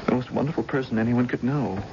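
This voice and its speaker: An actor in a radio mystery drama playing a bitter, grieving son with an earnest, pained delivery. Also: male voice, medium pitch, fast talker, lightly acted. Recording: muffled, noisy old radio recording.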